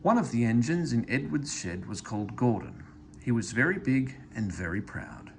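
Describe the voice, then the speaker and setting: Animated man reading a children's story. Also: medium pitch, normal speed, vocal fry, a little hiss or hum. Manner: expressive, animated. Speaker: storybook reader. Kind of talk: children's story read-aloud.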